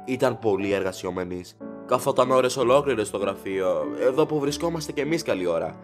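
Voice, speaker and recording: A chatty, deferential factory director , played by a man, with faint music behind him. He talks formally and ramblingly in an audio drama, always eager to please. His pitch sits in the middle of the male range. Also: animated, lightly acted. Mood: polite, explanatory.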